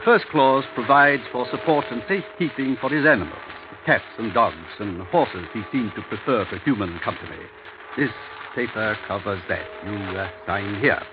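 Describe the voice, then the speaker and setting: An actor playing a brisk, fussy older English family lawyer in old-time radio drama, formal and avuncular with small muttered hesitations. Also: male voice, fairly low pitch, music in the background, close to an everyday voice. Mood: businesslike, fussy. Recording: tinny, noisy vintage radio recording.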